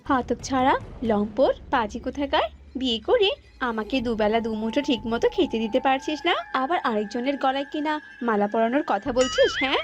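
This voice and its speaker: Cartoon role: woman as high-voiced little bird wife, fiery and emotional, faint music behind. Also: expressive, normal speed. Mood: angry scolding. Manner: shrewish, emphatic, emotional. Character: fiery, scolding yet devoted female bird wife. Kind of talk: cartoon voice acting.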